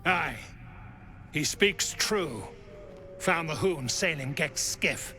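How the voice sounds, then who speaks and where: A man playing a rough, crude dwarf patrolman in a video game, brusque and coarse. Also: on the high side, expressive, slow pace, sharp tone, exaggerated voice. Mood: matter-of-fact.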